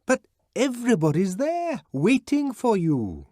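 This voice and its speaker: A man voicing an anxious, whining man who shouts pleas through a locked door, near tears. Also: high voice, huge pitch swings, a little slow, dry recording, studio quality, voice pushed up, full character voice. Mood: pleading wail.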